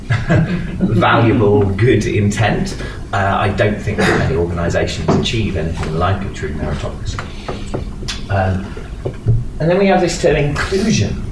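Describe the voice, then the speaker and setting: A man giving a conversational talk to a live audience, with many fillers and restarts. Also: lowish voice. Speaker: meetup speaker. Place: meeting room with an audience. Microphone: distant room mic. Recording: echoey room from far off, noisy.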